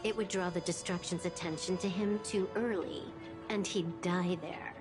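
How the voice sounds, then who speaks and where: A woman acting as a composed, fateful game character speaks in a fairly low voice. She is measured and matter-of-fact, solemn as an oracle, over clearly audible music. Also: fairly even intonation, close to an everyday voice. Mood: cool, solemn. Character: composed, fateful woman, cool prophetic messenger.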